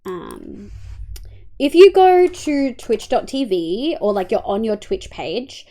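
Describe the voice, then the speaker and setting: A young Australian woman with a congested, nasal voice, chatting casually to her stream audience. Her voice sits high and swings widely in pitch as she explains things and hums to herself. She talks in a chatty, easygoing way. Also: huge pitch swings, takes it slowly, dark tone. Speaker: livestreamer. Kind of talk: casual livestream chat and walkthrough.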